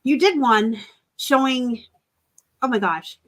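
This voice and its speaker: A woman's voice: sits high, takes it slowly, a bit bright, rough recording. A woman in her forties co-hosting a casual live chat, blunt and joking as she tells a story. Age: 40s. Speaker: co-host of a reseller live chat.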